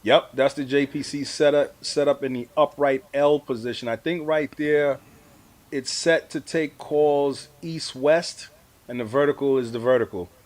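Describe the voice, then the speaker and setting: Male guest, middle-aged or older, mid-pitched, easygoing colloquial storyteller in a casual interview. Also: a little slow, darkish tone. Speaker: amateur radio operator, award-winning guest. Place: live video stream.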